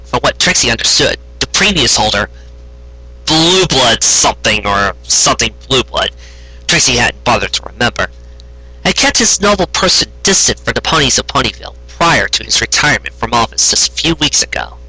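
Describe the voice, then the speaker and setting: Bright, husky, animated story reader. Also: medium pitch, normal speed, dry recording, rough recording. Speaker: amateur audiobook narrator. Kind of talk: expressive fan fiction story reading.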